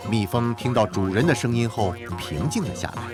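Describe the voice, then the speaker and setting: A man narrating an animated folk tale in an expressive, scripted storytelling style. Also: medium pitch, fairly quick. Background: music.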